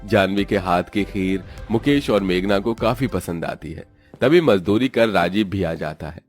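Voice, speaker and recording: A man's voice: low voice, unhurried. A man narrating a scripted story as a storyteller. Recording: music under the voice. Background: background music.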